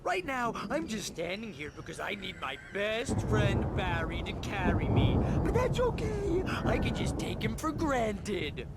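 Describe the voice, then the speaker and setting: A man's voice: sits high, huge pitch swings, unhurried, few pauses, heavy background noise, pitched below the performer's own voice, heavily acted. A man doing a mocking impression of a sulky, moody, self-important male writer in a video game, pompous and exaggerated. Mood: mock self-importance. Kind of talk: comic impression within a game scene. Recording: loud music over the voice.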